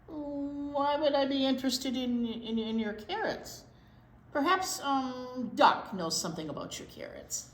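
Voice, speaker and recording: An aloof storybook cat, read by a woman, feigning innocence. The delivery is halting and slow, high-voiced, in a roomy space. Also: animated. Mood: evasive. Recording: roomy echo, clear background noise.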